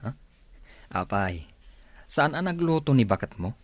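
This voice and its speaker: A man's voice: mid-range voice, very animated, unhurried, dry recording. A man acting a frank, generous co-worker with a Filipino accent, talking chummily in a radio drama. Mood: chummy. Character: friendly, blunt male co-worker, generous buddy.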